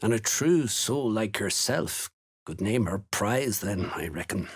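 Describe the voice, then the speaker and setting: An actor playing a gruff, menacing cult slaver in a video game, with a rough voice and a curt, contemptuous manner. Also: male voice, on the low side, expressive, a little slow. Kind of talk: video game character dialogue.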